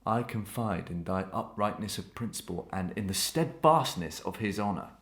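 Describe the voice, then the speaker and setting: Actor as tormented melodrama murderer-husband. Low male voice, imperious archaic declamation, strongly roomy recording. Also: normal speed, a bit bright, natural voice. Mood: commanding, bitter. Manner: commanding, grandiose archaic declamation. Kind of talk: old-fashioned melodramatic stage acting.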